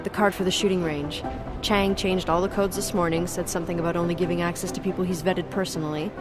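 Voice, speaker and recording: Game role: caring female agency co-worker, played by a woman. Lowish voice, informal, chatty, music underneath. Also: fairly even intonation, fast talker, close to an everyday voice. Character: friendly, concerned female office colleague at an agency. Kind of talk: video game cutscene acting. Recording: music behind the voice.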